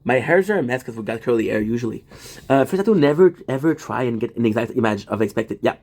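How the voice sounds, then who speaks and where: A man's voice: mid-range voice, expressive, quick pace, darkish tone. Guy livestreaming from home, rambles, restarts a lot, talks to chat. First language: French. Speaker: livestream host.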